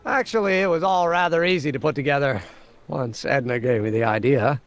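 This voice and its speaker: A man's voice: a little high, fairly quick, soft background music, natural voice. A man voicing an eager, earnest young amateur scientist in a video game, explaining things precisely and with enthusiasm. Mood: proud, explaining. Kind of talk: video game character acting.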